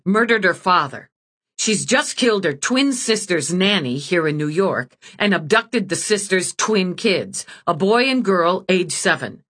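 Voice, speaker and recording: A woman's voice: fairly low pitch, lively intonation, a little slow, fairly bright tone, slightly rough, pitched above the performer's own voice, exaggerated voice. A woman voicing a hard-driving female police lieutenant who gives clipped orders. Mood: urgent. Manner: clipped, commanding.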